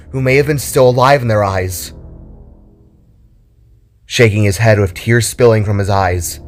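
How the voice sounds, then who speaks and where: Male story narrator, lower register, dramatic scripted read, music underneath.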